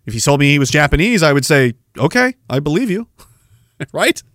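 A Canadian man ranting on his podcast, firing off sarcastic rhetorical questions. His voice sits a little high, and the sound is dry and close to the mic. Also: big pitch swings, moderate pace, fairly bright tone, no room sound. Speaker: podcast host. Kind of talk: ranting commentary over a played clip.

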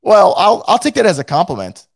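Hoarse, lively-pitched man ranting. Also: brisk, dry recording, studio quality. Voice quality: blunt and profane, with many restarts. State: hoarse, losing his voice. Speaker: fan show host reading live chat. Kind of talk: casual post-game rant.

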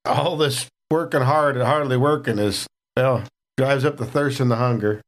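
Chatty guy at a tabletop game, lots of fillers, mid pitch. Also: moderate pace. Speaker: player. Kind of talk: casual tabletop game chat.

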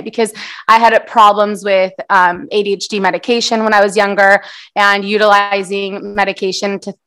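Young American female guest, native Portuguese speaker, medium pitch, conversational and reflective interview answers. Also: a bit bright. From San Diego, United States. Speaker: interview guest, musician and publicist.

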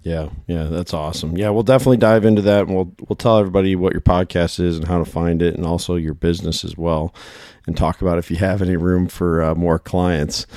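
A man hosting a casual podcast, his voice hoarse and worn, chatting and telling stories in quick bursts. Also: low-pitched, fairly even intonation, mellow, no room sound, clean studio sound. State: hoarse from shouting. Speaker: podcast host.

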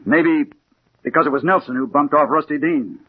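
An actor playing a hard-boiled American detective, narrating and acting with dramatic intensity in a 1940s radio crime drama. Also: male voice, on the high side, moderate pace, slightly rough. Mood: terse. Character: hard-boiled male private detective bent on revenge. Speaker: narrator and lead character. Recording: muffled old radio recording with hiss.

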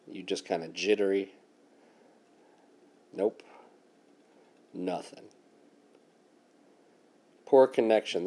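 Brief: man, 40s, lower voice, joking co-host, casual and profane. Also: mellow. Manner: wisecracking, swears a lot. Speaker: podcast co-host. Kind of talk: casual chat. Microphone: video call.

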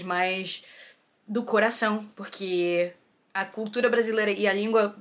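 A woman being interviewed, giving casual advice in a lively, expressive way. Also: medium pitch. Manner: lively and expressive, animated at times. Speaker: interview guest.

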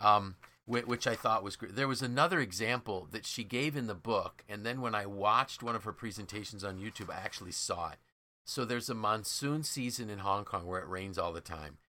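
A man's voice: fairly low pitch, fairly quick, bright, forceful tone, a little hiss or hum. An American man co-hosting a casual business podcast, explaining things through enthusiastic storytelling. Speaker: podcast co-host.

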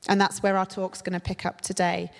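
Croaky-voiced woman preaching in a reverberant hall. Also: medium pitch, monotone, brisk. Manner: warm, conversational preaching with many fillers. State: croaky from a cold. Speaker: church leader. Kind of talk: sermon. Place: church hall.

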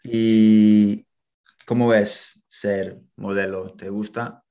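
An interviewer from London, asking short casual questions and prompting with quick backchannels. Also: mid-range voice, little pitch movement, dark tone.

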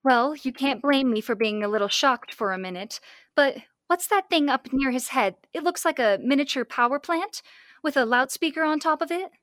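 A high-pitched young heroine acted by a woman in classic radio drama style. The voice is close and dry, with an earnest, questioning delivery. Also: lively intonation, moderate pace, fairly bright tone, no echo. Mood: inquisitive. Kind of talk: old-time radio drama acting.

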